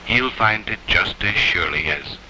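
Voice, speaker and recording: An actor playing a stern, sardonic spy-school mentor who lectures deliberately, in 1940s film style. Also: male voice, on the low side, animated, pushed and bright, a little hiss or hum, poor audio. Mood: sardonic warning. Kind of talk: 1940s training film voice-over.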